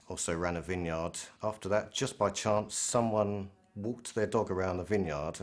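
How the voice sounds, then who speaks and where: A British man in middle age with a low-pitched voice gives a reflective career interview. He is articulate and measured, sometimes hesitating mid-phrase as he gathers his thoughts. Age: middle-aged. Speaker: senior air traffic control manager.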